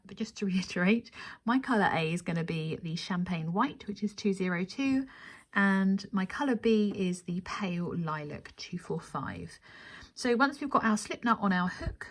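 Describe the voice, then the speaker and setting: A woman hosting a craft tutorial, explaining each step in a semi-casual, instructional way and counting as she goes. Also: mid-range voice. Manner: explanatory, counting steps aloud. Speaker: crochet tutorial host.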